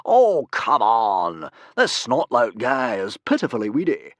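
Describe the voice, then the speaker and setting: Pompous booming hero, as a narrator puts him on, loud and raised in pitch, storybook reading. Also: male voice, sing-song, a little slow, no echo, studio quality. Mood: scornful. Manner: booming, grandiose proclamations. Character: grand heroic adult male bodyguard, pompous.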